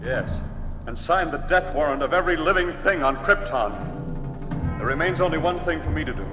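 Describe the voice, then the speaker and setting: Man acting as impassioned alien scientist, high male voice, urgent theatrical delivery, 1940s radio serial, big echoing hall. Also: fairly quick, close to an everyday voice. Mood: bitter, ominous. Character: grave, impassioned alien scientist prophesying his planet's doom. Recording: echoey hall on dull 1940s radio recording, music behind.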